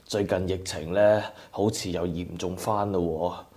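A man's voice: low-pitched, fairly even intonation, measured pace, mellow. A man with a Cantonese accent playing a caring, anxious older father in a radio drama. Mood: caring, fussing. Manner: fussing, coaxing.

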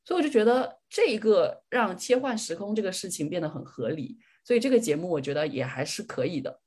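A woman's voice: fairly low pitch, animated, quick pace, a little mellow, breathy edge. A woman podcast host chatting casually, sharing her opinions like an enthusiastic fan.

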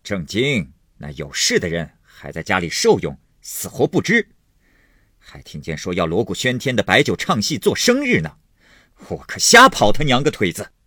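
A man's voice: low-pitched, sing-song, moderate pace, pauses often, fairly bright tone, husky, dry recording, studio quality, exaggerated voice. A man playing a hot-tempered, sneering husband who blusters, snorts and grumbles sarcastically in a storybook reading. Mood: furious.

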